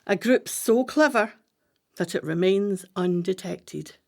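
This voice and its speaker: An actress playing a brisk-minded, commanding woman plotter in a radio play, with a deliberate, declamatory delivery. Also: medium pitch. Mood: firm, emphatic.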